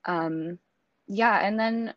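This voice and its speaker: A woman in her twenties from Singapore, a student guest talking casually in an interview, relaxed and laughing softly between thoughts. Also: mid-range voice, drawn-out words, dry recording, lo-fi sound. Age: 20s. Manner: relaxed, with frequent fillers and soft laughs.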